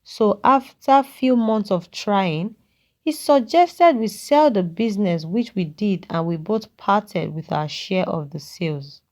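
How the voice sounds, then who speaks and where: A woman voicing a kindly, regretful old man who talks in a measured, fatherly way in a narrated folktale. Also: medium pitch, lively intonation, a little mellow, lightly acted. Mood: reminiscing, regretful. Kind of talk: character dialogue in a narrated folktale.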